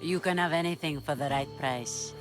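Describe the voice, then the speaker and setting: A woman's voice: sits low, normal speed, music in the background, natural voice. A woman playing a commanding, shrewd pirate leader in a video game, dry and authoritative. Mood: shrewd, businesslike. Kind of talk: dramatic video game cutscene acting.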